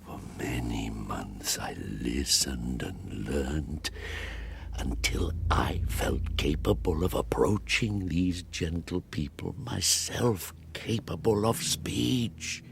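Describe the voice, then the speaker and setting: Outcast creature, low gravelly voice, slow halting delivery, formal old-fashioned speech, anguished, audiobook drama. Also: male voice, slight background noise, full character voice. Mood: wistful. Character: anguished, eloquent man-made monster, lonely outcast. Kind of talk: dramatised audiobook monologue and dialogue.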